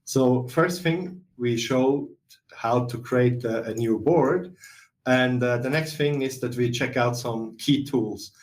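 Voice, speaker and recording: A middle-aged man with a Swiss accent, presenting a software webinar in a steady explanatory way with some fillers. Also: medium pitch, takes it slowly. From Switzerland. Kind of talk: webinar walkthrough.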